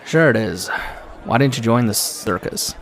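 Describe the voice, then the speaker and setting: A man's voice: medium pitch, expressive, moderate pace, natural voice. A man playing a cynical television reporter in an audio drama, dry and goading. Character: needling, cynical male TV reporter. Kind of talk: broadcast interview in audio drama. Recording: crowd murmur behind.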